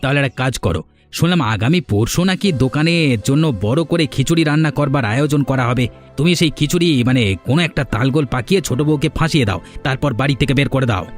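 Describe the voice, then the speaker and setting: A man's voice: mid-range voice, fast talker, soft background music, lightly acted. A man voicing a meddling, ingratiating younger village schemer in a cartoon, chatty and wheedling. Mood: conniving. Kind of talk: Bengali cartoon fairy-tale acting.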